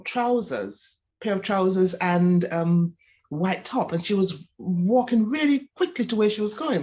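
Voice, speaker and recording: A woman with a Jamaican accent answering interview questions as a physiotherapist, explaining and talking about herself. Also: fairly high pitch. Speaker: physiotherapist guest.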